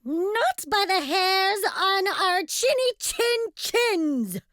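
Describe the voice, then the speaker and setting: A woman's voice: extremely high pitch, animated, very slow, fairly bright tone, no echo, clean studio sound, voice pushed up, heavily acted. A woman voicing a sensible, careful young boy pig in a storybook, speaking earnestly. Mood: alarmed.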